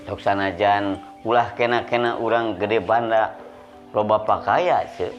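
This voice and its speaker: A man's voice: lowish voice, unhurried, muffled recording, faint music behind, pitched below the performer's own voice, close to an everyday voice. A man playing a humble, poor debtor who pleads patiently and moralizes in a Sundanese folk story, with a Sundanese accent. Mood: earnest.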